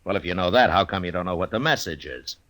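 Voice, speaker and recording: An actor playing a weary, watchful Old West lawman in a 1950s radio western, plain-spoken and measured, with an American accent. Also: deep voice, lively intonation, a bit bright. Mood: dry, brisk. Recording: old radio recording with music.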